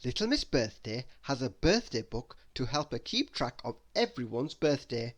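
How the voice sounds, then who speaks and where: Animated children's storybook reader. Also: mid-range voice, moderate pace. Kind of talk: children's read-aloud narration.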